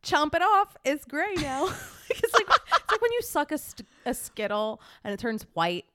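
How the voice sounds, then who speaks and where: A woman's voice: high-pitched, big pitch swings, normal speed, sharp tone, no room sound, studio quality. Bubbly, laugh-prone woman co-host. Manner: bubbly, laughs often, swears casually. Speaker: podcast co-host. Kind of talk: casual chat. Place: in-person studio or room in Los Angeles.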